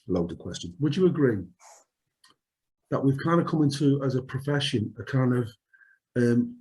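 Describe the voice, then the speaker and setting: A man's voice: medium pitch, lively intonation, normal speed, darkish tone, rough recording. A middle-aged man with a Liverpool accent co-hosting an unscripted podcast, jokey and rambling with frequent restarts. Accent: Liverpool (Scouse). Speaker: podcast co-host.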